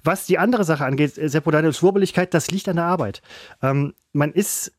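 A man's voice: on the high side, a little fast, dry recording, studio quality. A man co-hosting a casual podcast chat, bantering and emphatic, playfully boastful. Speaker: podcast co-host.

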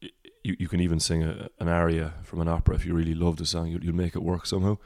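This is a Cork Irish man with a deep baritone voice that sits very low. His delivery stays level, with little rise and fall. He sounds reflective and wanders through his thoughts in a casual podcast chat, recorded close with a dry sound. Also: monotone, racing, a little mellow, dry recording. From Cork, Ireland. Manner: reflective, rambling. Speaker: singer-songwriter guest.

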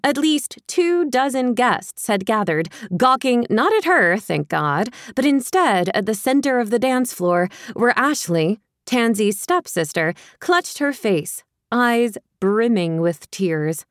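A woman reading a romantic comedy audiobook with wry, expressive, dramatic phrasing. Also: on the high side, slow pace, few pauses, no room sound, clean studio sound. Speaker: audiobook narrator. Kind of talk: scripted fiction narration, romantic comedy.

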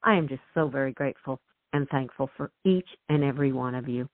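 A woman's voice: a bass voice, fast talker, no room sound. A woman hosting a radio talk show, effusive and enthusiastic. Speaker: host. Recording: tinny phone line.

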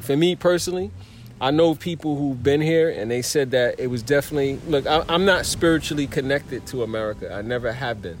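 A man talks things through in an easygoing conversation, earnest and reflective. His voice sits at an ordinary middle pitch, and faint music plays somewhere behind him. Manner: earnest, searching for words. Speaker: traveler in conversation.

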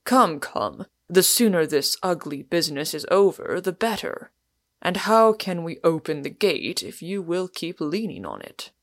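A woman's voice: on the low side, very animated, unhurried, dry recording, clean studio sound. A woman voicing a stern middle-aged male doctor, brusque, curt and commanding, in an audiobook. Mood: firm, impatient. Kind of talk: audiobook character voice.

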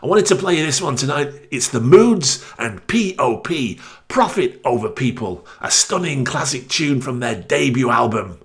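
A man's voice: medium pitch, animated, moderate pace, hardly any pauses. A man hosting a radio music show, introducing a track in a spoken link.

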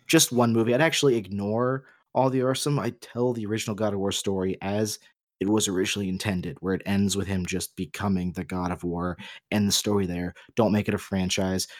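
A man with a fairly deep voice co-hosts a podcast discussion. He sets out his opinions in an articulate, measured way, stressing key words. The sound is very dry, with no room on it. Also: no room sound. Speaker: podcast co-host.

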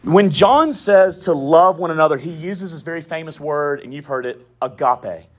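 A man preaching a sermon in a Southern US accent, with emphatic declarations, quiet asides and folksy humor. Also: fairly high pitch, lively intonation, normal speed. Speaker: pastor.

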